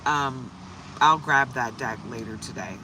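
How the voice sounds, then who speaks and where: A hoarse woman from the United States doing a casual, upbeat tarot livestream and greeting viewers by name. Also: a bass voice, unhurried, heavy background noise. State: hoarse from shouting. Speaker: tarot reader.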